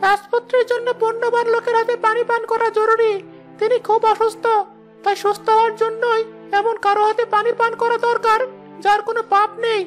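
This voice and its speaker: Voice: very high-pitched, flat delivery, brisk, a bit bright. A performer voicing an earnest royal messenger who makes proclamations in a cartoon fairy tale. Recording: music behind. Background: background music.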